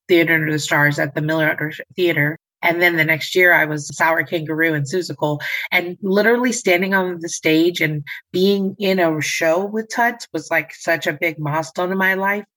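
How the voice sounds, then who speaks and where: A woman with a low voice, a singer and nurse telling her story in an interview, dramatic and lively, acting out the voices she quotes. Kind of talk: interview conversation.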